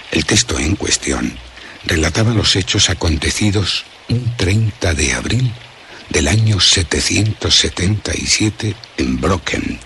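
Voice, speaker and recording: A man's voice: low voice, a bit bright, full character voice. A man playing an anxious philosopher who recounts a night of terror in a solemn, literary, suspenseful radio drama narration. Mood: ominous, solemn. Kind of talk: dramatic first-person horror radio narration.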